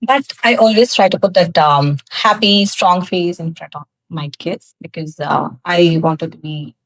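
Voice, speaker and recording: A woman from South India whose first language is Tamil, telling her personal story as a guest in a podcast interview, candid, with frequent laughs and halting fillers. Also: lowish voice, no room sound, low-quality recording. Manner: candid, laughs often, pauses with many fillers. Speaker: guest, cosmetics founder.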